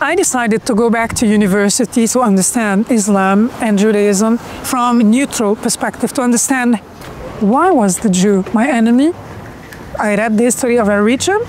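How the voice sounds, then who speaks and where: A middle-aged woman with a Lebanese accent, telling her personal story as documentary narration in an earnest, deliberate way. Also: fairly high pitch, fairly even intonation, darkish tone, slight background noise, low-quality recording. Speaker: activist and social media creator.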